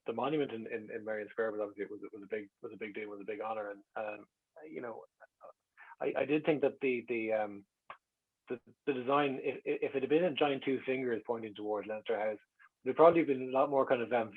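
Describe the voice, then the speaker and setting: A man's voice: medium pitch, husky. An Irish man chatting reflectively as an interview guest, wry and often interrupting himself. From Ireland. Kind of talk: casual reflective interview chat. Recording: muffled, radio-like call audio.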